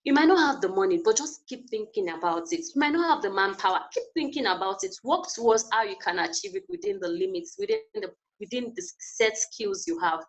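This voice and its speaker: A woman speaks over a video call with a lively, rising and falling voice. She talks in an earnest, encouraging way and repeats her key points for emphasis. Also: fairly high pitch, brisk, vocal fry, hardly any pauses, low-quality recording. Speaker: guest speaker. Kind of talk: inspirational webinar talk.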